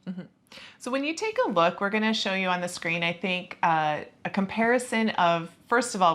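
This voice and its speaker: A woman's voice: medium pitch, fairly bright tone. A woman co-hosting a personal finance video, talking in an easygoing, casual way and chiming in with short quips. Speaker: co-host of a personal finance channel. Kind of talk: casual explanatory chat to camera.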